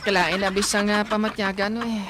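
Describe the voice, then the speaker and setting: A man voicing a plaintive, neglected wife in a comic radio drama, with a Visayan Filipino accent and halting, confiding delivery. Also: high-pitched, flat delivery, heavy background noise, close to an everyday voice. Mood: hesitant, confiding. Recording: loud music under the dialogue.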